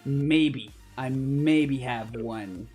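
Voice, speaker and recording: A man's voice: medium pitch, extremely slow, a little mellow, breathy edge. A man from Canada hosting a gaming livestream, chatting casually in a rambling, jokey way. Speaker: livestream host. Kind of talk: casual gaming stream chat. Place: at home in an apartment, live voice chat. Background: background music.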